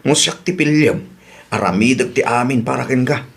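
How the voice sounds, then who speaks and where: A man's voice: medium pitch, normal speed, vocal fry, lightly acted. A man acting an eager, coaxing suitor. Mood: wooing. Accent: Filipino, Ilocano. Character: eager, promising male suitor wooing a woman. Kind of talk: radio drama acting.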